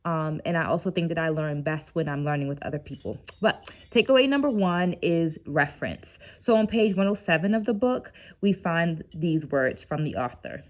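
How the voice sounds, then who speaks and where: A woman's voice: deep voice, expressive, normal speed. A middle-aged woman who works as a dance educator, talking in a casual, reflective vlog with a teacherly manner, often checking in with the listener, and sounding tired. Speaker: dance educator and video host.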